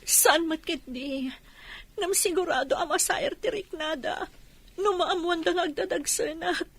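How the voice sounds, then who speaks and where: Radio drama: anxious young librarian in love, high bright voice, insistent and emotive. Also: female voice, measured pace, dry recording, heavily acted. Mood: tearful, bitter.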